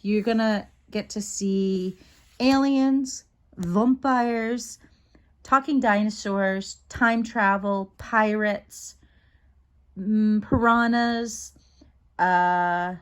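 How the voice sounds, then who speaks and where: A woman reading a children's book aloud in an expressive storytelling style, acting out the dialogue as she goes. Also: fairly high pitch, drawn-out words.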